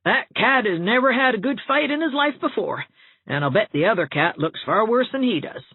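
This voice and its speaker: Woman putting on a loud, feisty housekeeper. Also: a little high, expressive, fairly quick, breathy edge, no echo, voice pushed up. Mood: exultant. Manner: emphatic, exultant. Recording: narrow phone-like sound, very dry.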